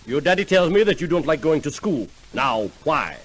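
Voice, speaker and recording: An actor playing a pompous, self-assured psychoanalyst doctor in an old-time radio comedy sketch. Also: male voice, on the high side, very animated. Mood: patient, pompous. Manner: pompous, formal, deliberate questioning. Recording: old radio recording, faint noise.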